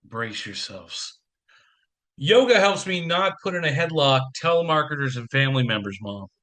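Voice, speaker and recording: A man's voice: on the high side, very animated, normal speed, a bit bright. A man acting in an audio play as a harried, wry, affectionate businessman father with dry sarcasm. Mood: sarcastic.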